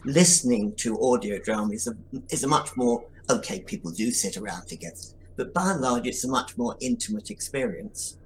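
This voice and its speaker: A man's voice: mid-range voice, expressive, fairly quick, low-quality recording. A man with a mid-range voice explaining his craft as a writer on a podcast. He speaks thoughtfully and in full sentences, over a faint background hiss. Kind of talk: podcast interview answer.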